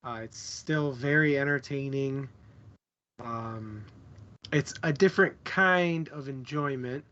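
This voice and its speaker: A man co-hosting a casual book chat, thinking aloud in short, halting phrases. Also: medium pitch, sharp tone, highs cut off. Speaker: book club show co-host.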